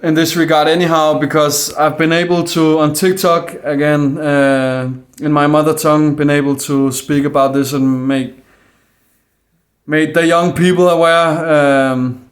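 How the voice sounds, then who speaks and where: A man's voice: on the high side, unhurried. A man with a Danish accent and Danish as his first language, talking earnestly into the camera in a casual monologue, full of fillers and restarts. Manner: hesitant, many fillers and restarts; calm, earnest. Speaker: independent video maker.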